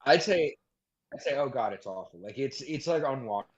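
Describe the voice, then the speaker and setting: A man's voice: medium pitch, lively intonation, fairly quick, breathy edge. A man chatting casually as a podcast co-host, giving opinions in a blunt, sweary, slangy way. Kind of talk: casual chat, opinionated commentary. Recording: dull, lo-fi voice audio.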